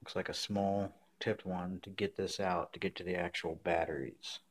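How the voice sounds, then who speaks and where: A man's voice: sits low, steady tone, normal speed. A man talking casually and matter-of-factly through a hands-on craft review at a table indoors. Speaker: prop maker reviewing and modifying a costume mask. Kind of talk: casual unboxing and tutorial talk to camera. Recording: clear background noise, handling clicks.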